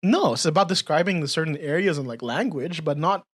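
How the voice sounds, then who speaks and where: A woman's voice: low voice, lively intonation, brisk. A woman in her twenties with a slight Filipino accent, co-hosting a casual podcast chat, talking directly and laughing often. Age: 20s. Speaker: podcast co-host.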